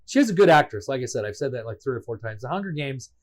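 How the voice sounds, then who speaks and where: A man's voice: medium pitch, very animated, quick pace, a little mellow. A man co-hosting a casual podcast, self-deprecating and prone to repeating himself, laughing often. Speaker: podcast co-host. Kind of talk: casual chat.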